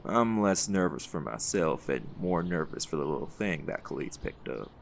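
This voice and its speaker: A man playing a seasoned, folksy leader of a hunt in an audio roleplay, gravelly and reassuring, with a fond teasing streak. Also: low-pitched, lively intonation, moderate pace, noisy background. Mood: warm, reflective.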